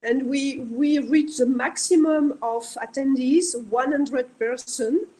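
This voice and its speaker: A woman with a French accent and French as her first language, moderating a webinar in halting English with repeated words. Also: high voice, dark tone, low-quality recording. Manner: halting, frequent word repeats. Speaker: webinar moderator for a book office. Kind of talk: unscripted event hosting.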